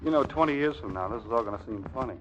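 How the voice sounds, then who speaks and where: A man's voice: medium pitch, lively intonation, racing, close to an everyday voice. Guy playing easygoing young lieutenant, stammers a bit, bashful, old 40s radio comedy, muffled with faint hiss. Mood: amused, tender. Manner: hesitant, stammering. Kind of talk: 1940s radio comedy acting. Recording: dull, noisy 1940s radio broadcast.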